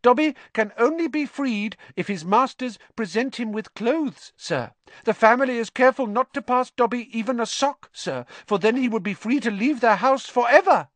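A reader voicing a pitiful, servile male house elf who talks in a squeaky, fretful, pleading way. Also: animated, moderate pace, no room sound, lightly acted. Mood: anxious servile.

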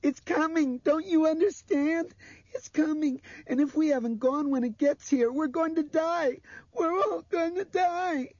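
A man's voice: fairly high pitch, expressive, measured pace, warm tone, no echo, highs cut off, voice pushed up, exaggerated voice. A man voicing a blind little girl in an audiobook, dreamy, trembling and insistent. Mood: hysterical, terrified. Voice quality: breathy, trembling.

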